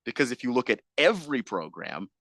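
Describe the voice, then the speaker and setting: A man's voice: mid-range voice, big pitch swings, brisk, creaky, a bit bright, no room sound, clean studio sound. A man co-hosting live sports radio, casually running through player news. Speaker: radio co-host.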